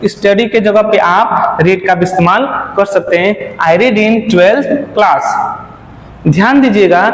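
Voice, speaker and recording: A male spoken English teacher giving a classroom lesson, slowing right down to read model sentences aloud and quizzing his students with repeated questions. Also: sits high. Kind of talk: classroom lesson explaining in Hindi. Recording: echoey classroom with long reverb, faint room noise.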